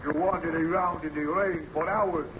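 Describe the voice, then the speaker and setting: Actor as stern Germanic burgomaster, muffled old radio. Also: male voice, fairly high pitch, steady tone, normal speed, noisy background, natural voice. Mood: accusing. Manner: blunt, formal, interrogating. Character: stern, accusing Germanic village burgomaster, hard and officious. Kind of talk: old-time radio drama acting.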